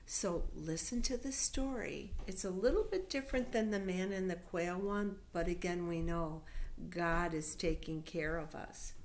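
A middle-aged woman reading Bible stories aloud to young children, explaining simply and patiently with deliberate emphasis. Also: mid-range voice, a little hiss or hum. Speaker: children's Sunday school storyteller. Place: living room at home. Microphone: webcam or laptop microphone at arm's length.

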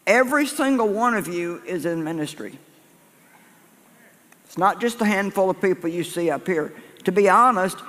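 An older man preaches to a packed church in a high, light voice, folksy and conversational. He restarts phrases and circles back to hammer a point home. His voice rings out across a long-reverb sanctuary. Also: fairly bright tone. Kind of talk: sermon to a live congregation. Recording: echoey church hall.

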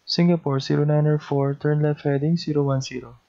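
A man's voice: a little high, fairly even intonation, a little fast, creaky, dark tone. A man with a Filipino accent speaking in the clipped, procedural style of an air traffic control demonstration, on a dull lo-fi recording.

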